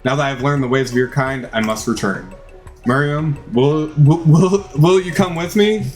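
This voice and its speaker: A man voicing an earnest alien robot classmate in a game, making solemn, halting declarations. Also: a little high, natural voice. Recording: music behind the voice.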